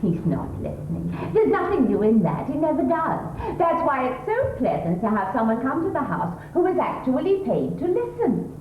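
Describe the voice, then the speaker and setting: Posh, fluttery English wife played by a woman, stage comedy, very animated, needling and exclamatory; tinny, roomy recording. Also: high voice, normal speed, few pauses, a little hiss or hum, full character voice. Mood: chatty, needling. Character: chattering middle-class English wife, anxious, fluttery, needling her husband. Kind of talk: theatrical stage comedy acting. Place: theatre stage.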